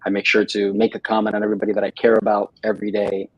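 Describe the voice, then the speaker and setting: Low-voiced man, flat delivery. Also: husky, dry recording, muffled recording. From Russia. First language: Russian. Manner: halting, many fillers and restarts. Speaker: guest. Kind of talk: casual interview chat. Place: home studio, live stream.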